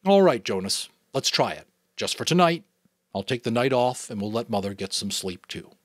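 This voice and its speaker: A man voicing a gentle, good-humored father in a storybook reading, teasing and kind. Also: mid-range voice, sing-song, moderate pace, dry recording, studio quality. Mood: amused.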